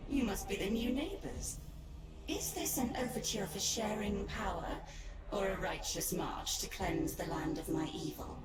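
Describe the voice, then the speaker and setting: An actress playing a capricious undead necromancer villain in a video game, theatrical and mockingly courteous. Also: female voice, mid-range voice, a little slow, close to an everyday voice. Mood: mocking courtesy. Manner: mock-polite, theatrical. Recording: echoing voice under loud music.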